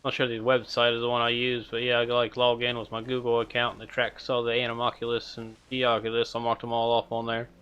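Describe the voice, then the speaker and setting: Homem descontraído narrando seu jogo. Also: male voice, mid-range voice, quick pace, hardly any pauses, smooth, muffled recording. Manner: relaxed, rambling, chatting with viewers. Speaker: streamer. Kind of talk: casual gameplay commentary.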